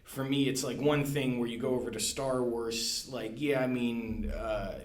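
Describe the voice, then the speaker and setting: A man's voice: medium pitch, steady tone, a little slow, roomy sound. A man talking casually on a library podcast, hesitant, with many fillers and restarts. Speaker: guest, library staff. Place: room at a library.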